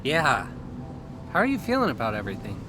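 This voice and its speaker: A man's voice: medium pitch, huge pitch swings, brisk, over music. An actor playing an easygoing, curious young man in an audio drama, chatting in a casual, slangy way. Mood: thoughtful. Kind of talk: conversational audio drama acting.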